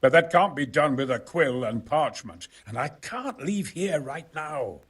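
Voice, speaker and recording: An actor playing a genial, self-important exiled engineer in a video game, talking in a wry, hesitant, gentlemanly way. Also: male voice, fairly high pitch, sing-song, unhurried, slightly rough, heavily acted. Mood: grumbling. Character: genial, erudite exiled male engineer, self-important about his opus. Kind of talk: video game cutscene acting.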